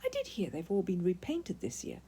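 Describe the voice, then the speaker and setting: A woman reading the part of a caring, slightly anxious storybook mother, gently fussing and advising. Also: on the low side, huge pitch swings, a little fast, a little hiss or hum. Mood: hopeful coaxing.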